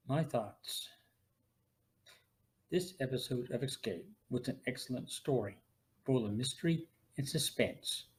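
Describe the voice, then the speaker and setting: A man's voice: mid-range voice, a little mellow, low-quality recording. A man hosting a podcast, reading scripted narration and commentary. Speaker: podcast host.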